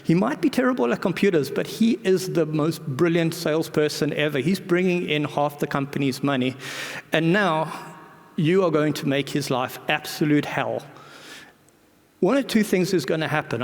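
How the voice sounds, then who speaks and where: A man's voice: a little high, normal speed. A man giving an informal conference talk in a conversational, wryly humorous manner. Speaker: conference speaker. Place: large indoor conference venue. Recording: echoey hall reverb.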